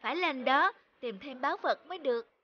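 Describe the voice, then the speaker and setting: A woman voicing a naive, eager little peasant boy in a children's cartoon, earnest and expressive. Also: high voice, brisk, dull audio. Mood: excited, eager.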